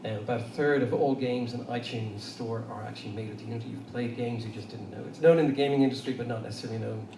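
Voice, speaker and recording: A man's voice: medium pitch, quick pace, hardly any pauses. Irish man, middle-aged, talking from a distance in a roomy hall, noisy audio. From Ireland. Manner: loose, anecdotal, with many fillers and restarts. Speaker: panel speaker. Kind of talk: conversational talk to an audience. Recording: roomy far-off hall recording with noise.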